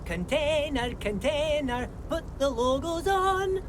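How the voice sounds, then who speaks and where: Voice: sits high, animated, slow, music in the background. An actor playing a cheery, folksy dockworker in a game, with a thick foreign accent and a friendly, sing-song manner. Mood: singing, carefree. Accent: thick fictional foreign accent. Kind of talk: scripted game character dialogue.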